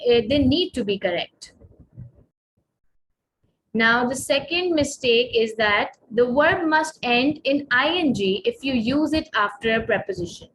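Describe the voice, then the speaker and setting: A young woman with a Pakistani accent, a native Urdu speaker, giving a measured grammar presentation with frequent pauses. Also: fairly high pitch, a little hiss or hum, poor audio. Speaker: student presenter.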